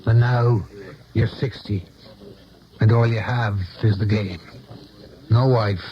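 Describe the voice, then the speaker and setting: An actor playing a plain-spoken, loyal confidant in a 1970s radio drama, folksy and emphatic. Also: male voice, on the low side, steady tone, slow pace, slight background noise. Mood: reassuring. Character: blunt, loyal male confidant of an aging politician. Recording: dull lo-fi 1970s radio recording.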